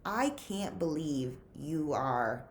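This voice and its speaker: A woman's voice: low voice, expressive, slow pace, reverberant, slight background noise. A woman reading the lines of an earnest, urgent teenage boy in a storybook, with a pleading manner. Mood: sheepish disbelief.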